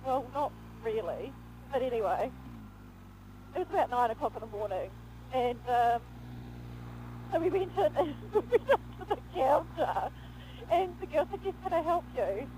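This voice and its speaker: A woman chats animatedly, laughing through her stories. Her voice sits high and swoops up and down a lot. Faint vehicle noise hums in the background. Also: moderate pace, pauses often, rough recording. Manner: giggly, animated storytelling. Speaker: vlogger. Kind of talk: casual storytelling chat. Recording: road noise behind.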